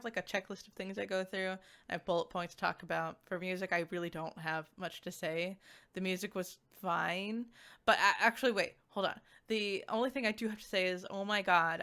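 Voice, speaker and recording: A woman with a mid-pitched voice chats through a game review in a loose, unscripted way. She rambles, breaks off to correct herself and tends toward giggles, like a casual VTuber talking to viewers. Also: pushed and bright. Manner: giggly, rambling, self-interrupting.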